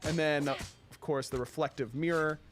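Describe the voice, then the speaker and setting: A man's voice: fairly high pitch, steady tone, normal speed, music in the background. A man hosting a casual video game showcase, commenting in an easygoing, approving way. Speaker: show host.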